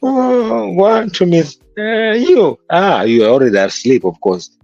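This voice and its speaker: A man's voice: sits high, animated, unhurried, a little mellow, no echo, highs cut off, soft background music. A man with a Kenyan accent, a guest musician telling stories from his childhood in an interview. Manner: reflective, storytelling. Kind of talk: interview conversation.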